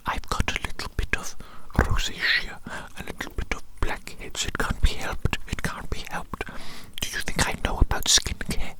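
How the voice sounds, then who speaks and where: Someone acting out an evil mad scientist doctor, ASMR roleplay, breathy whisper, playful, theatrical, doting, soothing repetition. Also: moderate pace, few pauses, lightly acted. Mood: playful, mock indignant.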